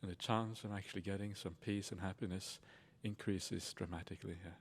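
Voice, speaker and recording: A man leading a guided meditation as a teacher, speaking in a soothing, unhurried and reassuring manner. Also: sits low, steady tone, a little hiss or hum. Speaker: Buddhist monk and meditation teacher.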